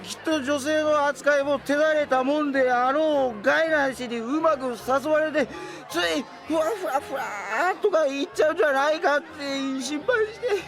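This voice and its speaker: A man's voice: very high voice, normal speed, few pauses, over music. An actor playing a whiny, timid, lovesick young male subordinate in an audio drama, flustered and melodramatic. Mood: tearful, indignant. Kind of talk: Japanese audio drama acting.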